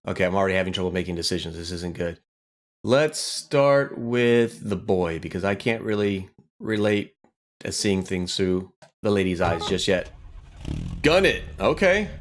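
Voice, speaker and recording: A man giving casual let's play commentary, thinking aloud in an informal way and sometimes reading game text. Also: fairly low pitch. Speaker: gaming video host. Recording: music behind the voice. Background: guitar game music.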